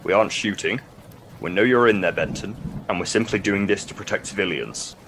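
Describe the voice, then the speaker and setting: Male actor as commanding middle-aged army brigadier, clipped and low, audio drama with noisy ambience behind. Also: fairly quick, fairly bright tone. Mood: commanding, guarded. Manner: clipped, authoritative.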